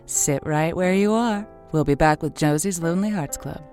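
A woman's voice: sits low, moderate pace, a little mellow, soft background music, natural voice. A woman playing a romantic, sympathetic radio advice host in a semi-improvised audio drama, speaking in an earnest, emphatic way. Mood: encouraging, playful.

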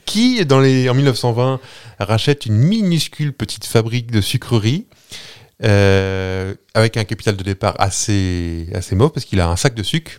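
A man's voice: fairly low pitch, lively intonation, normal speed, clean tone. A man hosting a podcast, telling a story in a playful, rambling way with many hesitations. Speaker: podcast host. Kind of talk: casual chat, telling a story.

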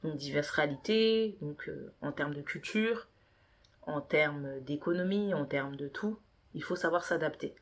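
A woman's voice: low voice, measured pace. A woman in her thirties, a native Yoruba speaker, answering interview questions earnestly with many hesitations and fillers. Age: 30s. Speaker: podcast guest, business consultant.

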